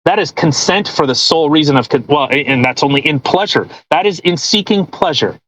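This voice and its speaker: A blunt podcast host with a mid-pitched voice that swings up and down with great animation. The delivery is casual, argumentative and peppered with swearing, like commentary on something being read aloud. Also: expressive, brisk, a bit bright, dry recording, poor audio. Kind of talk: casual commentary while reading a post aloud.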